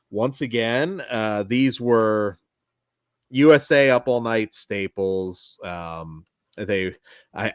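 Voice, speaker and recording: Lively, low-voiced man co-hosting a film review. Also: no echo, studio quality. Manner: animated, self-deprecating, joking. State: voice going tired after long recording. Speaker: podcast co-host. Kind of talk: casual film review chat.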